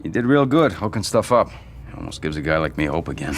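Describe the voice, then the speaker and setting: A jaded American engineer in his middle years for a game cutscene. He is blunt and foul-mouthed, with a very lively, swinging intonation. Faint noise sits behind the voice. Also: deep voice, quick pace. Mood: sardonic. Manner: blunt, profane, grumbling. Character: bitter, cynical middle-aged male tech engineer. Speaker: game character. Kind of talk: video game cutscene dialogue.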